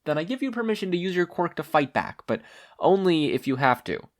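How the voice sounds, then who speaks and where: A calm, confident woman superhero from a story, as a reader plays her. She sounds assured and encouraging as a mentor. The pitch is lifted and varied. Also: a little fast, lightly acted.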